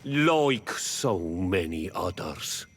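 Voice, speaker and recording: A man playing a weary, peace-loving village leader in a video game, grave, thoughtful and husky, speaking solemnly and deliberately. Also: deep voice, sing-song, exaggerated voice. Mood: sorrowful, pleading. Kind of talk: video game dialogue acting.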